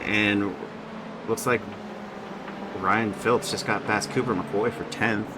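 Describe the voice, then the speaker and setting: Male race commentator, very low pitch, conversational and off-the-cuff; noisy mic with a loud hum. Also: fairly even intonation, slow. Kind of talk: casual sim racing commentary.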